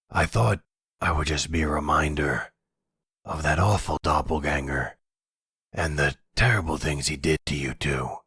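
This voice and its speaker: A man playing a humble, sincere middle-aged father in an audio roleplay, speaking softly and haltingly with gratitude. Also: extremely low pitch, breathy edge, no echo, clean studio sound, full character voice.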